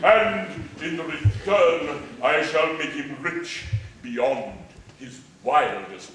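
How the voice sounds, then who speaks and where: Man playing a slow, grand pantomime villain. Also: on the high side, fairly bright tone. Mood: sly persuasion. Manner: grand, theatrical, deliberate. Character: smooth-talking, scheming pantomime villain posing as benefactor. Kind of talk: live pantomime acting. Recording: echoey, distant stage recording with faint noise.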